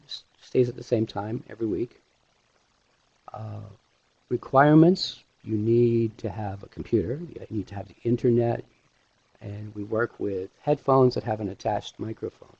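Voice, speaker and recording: A middle-aged man giving an informal online presentation as an instructor, speaking haltingly with pauses mid-sentence through a headset mic. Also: medium pitch, creaky, warm tone, husky, no room sound. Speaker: animation instructor hosting a webinar. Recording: dull, lo-fi webinar audio.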